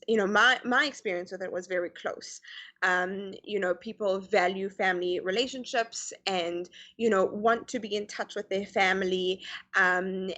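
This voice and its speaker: A middle-aged woman from South Africa with a mixed American and Canadian accent, chatting as an interview guest with many fillers over a video call. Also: medium pitch. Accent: mix of American and Canadian. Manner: chatty, many fillers, lively quoted voices. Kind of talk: casual interview chat.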